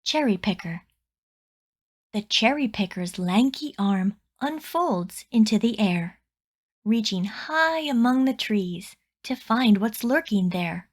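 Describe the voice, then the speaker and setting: A woman reading a rhyming children's picture book aloud with deliberate, expressive storytelling phrasing. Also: mid-range voice.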